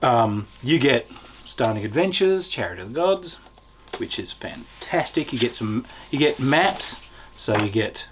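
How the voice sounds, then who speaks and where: Animated man reviewing, some background noise. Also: medium pitch. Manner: enthusiastic, emphatic with drawn-out words. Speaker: tabletop game reviewer. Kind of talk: casual unscripted product review.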